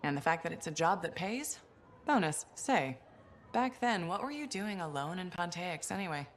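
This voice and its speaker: A woman's voice: lowish voice, expressive, normal speed, a little hiss or hum, lightly acted. An actress playing a dry, self-assured female mercenary hero in a video game, speaking in a wry, understated way. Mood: dry, reflective.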